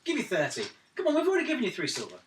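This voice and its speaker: Man, player, lively voice, roomy distant recording, clear background noise. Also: extremely high pitch, very fast. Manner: haggles in character, dry and teasing. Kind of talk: casual play at a game table.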